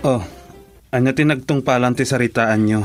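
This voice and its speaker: A man playing a calm, reassuring friend. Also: mid-range voice, moderate pace, music in the background, natural voice. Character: supportive male friend offering advice. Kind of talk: radio drama acting.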